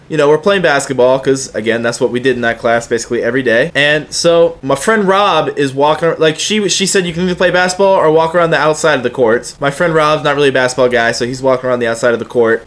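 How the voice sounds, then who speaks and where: A man's voice: fairly high pitch, a bit bright. A young man telling personal anecdotes in a casual, slangy, sometimes profane way, acting out the dialogue as he goes. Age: young adult. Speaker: YouTuber telling personal stories.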